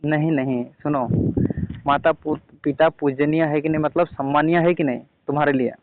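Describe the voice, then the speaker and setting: A man's voice: fairly high pitch, fairly even intonation, normal speed, no room sound. A man debating in an argumentative way on a phone call, pressing insistently with repeated questions. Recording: muffled phone call recording, noisy.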